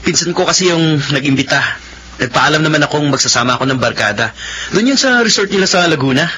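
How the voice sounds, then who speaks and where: A man's voice: fairly high pitch, expressive, quick pace, muffled recording, close to an everyday voice. A man playing an easygoing young friend in a radio drama, casual and friendly. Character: easygoing young male pal of the couple. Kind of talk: Filipino radio drama acting.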